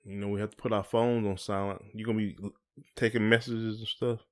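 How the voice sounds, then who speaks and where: A man's voice: lowish voice, little pitch movement, a little fast. A man co-hosting a podcast in casual chat, asking questions in informal, hesitant phrasing. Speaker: podcast co-host.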